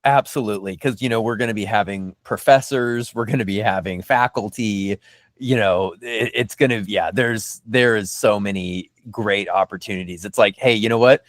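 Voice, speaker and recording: Guy podcast host w a lowish voice, dry sound, kinda halting and repeats himself, into it. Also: no room sound. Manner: halting, repeats phrases as he talks. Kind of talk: casual podcast discussion.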